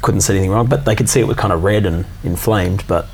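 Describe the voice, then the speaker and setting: A man in casual conversation, telling a long story in a relaxed, halting way with many fillers and restarts. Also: fairly low pitch, creaky, a little hiss or hum. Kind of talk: casual chat.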